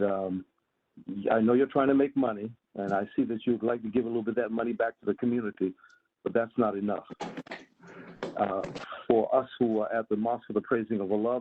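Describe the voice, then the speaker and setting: An American man in his seventies speaking earnestly and in a measured way at a community meeting, over a phone line. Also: fairly low pitch, breathy edge, dry recording. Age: 70s. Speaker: imam, community member calling in. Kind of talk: public comment at a community meeting. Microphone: telephone call. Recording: narrow, tinny phone line.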